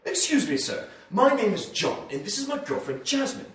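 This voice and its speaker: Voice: mid-range voice, very animated, normal speed, a bit bright. A performer playing a polite, puzzled boyfriend, the straight man in a live radio-style comedy sketch. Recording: very echoey room, distant mic.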